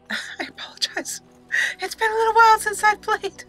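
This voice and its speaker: A woman's voice: very high voice, little pitch movement, normal speed, fairly bright tone, rough recording. A woman giving casual let's play commentary, chatting and thinking aloud as she plays. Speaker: gaming channel host and player. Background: game music.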